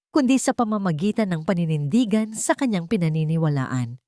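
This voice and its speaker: A woman narrating a scripted audio drama story in a sentimental, earnest way, with measured phrasing and dramatic pauses. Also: fairly low pitch, a little mellow, no echo, studio quality.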